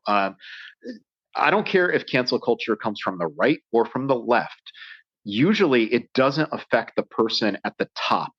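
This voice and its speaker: A man's voice: medium pitch, animated, unhurried, slightly rough, no room sound. An American man speaking as a podcast guest, telling his story in a passionate, emphatic way. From United States. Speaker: guest, survival instructor. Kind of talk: casual interview talk. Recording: dull lo-fi sound.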